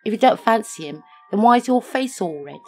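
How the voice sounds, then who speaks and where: A woman's voice: fairly high pitch, lively intonation, fairly quick, mellow, soft background music, voice pushed up. A woman voicing a brash, slangy young female best friend who teases bluntly and impatiently in an audio story. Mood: teasing, blunt. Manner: slangy, mocking, exasperated. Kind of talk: scripted audio story dialogue.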